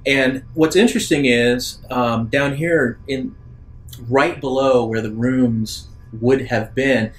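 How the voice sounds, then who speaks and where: A man acting as game master, improvising narration for a tabletop roleplaying game in halting, thoughtful phrases. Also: mid-range voice, fairly even intonation.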